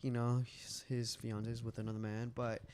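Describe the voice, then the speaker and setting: A man's voice: medium pitch, monotone, normal speed, a little mellow, a little hiss or hum. A man in his late twenties chatting casually and candidly on a podcast, rambling, repeating himself and swearing loosely. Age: late 20s. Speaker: podcast co-host.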